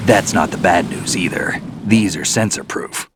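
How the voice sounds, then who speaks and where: A man's voice: deep voice, normal speed, noisy background, close to an everyday voice. A man playing a cool, pragmatic seasoned soldier in a video game cutscene, talking in a terse, matter-of-fact way. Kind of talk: dramatic game cutscene acting. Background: background music.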